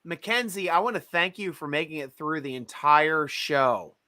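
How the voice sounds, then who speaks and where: A man's voice: a little high, expressive, normal speed, bright, forceful tone, no room sound, studio quality. A man in his forties hosting a casual sports panel chat as the show's host. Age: 40s. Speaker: host of a sports draft show.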